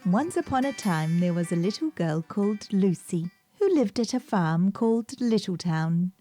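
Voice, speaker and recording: A woman narrating a scripted children's story as a storybook reader, with gentle music behind her. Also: mid-range voice, animated, moderate pace. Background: gentle background music.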